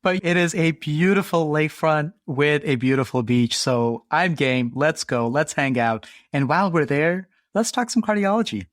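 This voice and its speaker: A man's voice: a little high, moderate pace, hardly any pauses, no room sound, studio quality. A man co-hosting a medical podcast, making upbeat introductions of the guests and the case. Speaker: podcast co-host.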